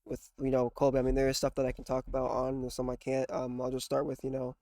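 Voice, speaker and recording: A man's voice: mid-range voice, completely flat delivery, fast, warm tone, no room sound. A young man in his twenties talks loosely on a podcast with friends and swears constantly. He has an everyday mid-range voice. The recording is dry and close. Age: 20s. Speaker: podcast co-host. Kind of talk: casual chat.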